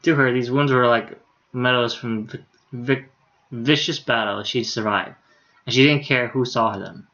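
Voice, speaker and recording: A man's voice: mid-range voice, steady tone, measured pace, bright, forceful tone, dull audio. A man reading a visual novel aloud on a livestream in a casual, unpolished way. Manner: casual, stumbles over words, swears in asides. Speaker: game streamer.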